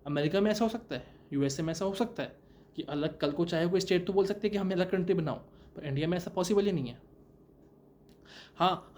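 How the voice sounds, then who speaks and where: A man's voice: sits high, darkish tone. A young man giving a law lecture, asking rhetorical questions and answering them himself, with many English terms mixed in. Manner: mixes English terms into Hindi. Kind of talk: explanatory lecture.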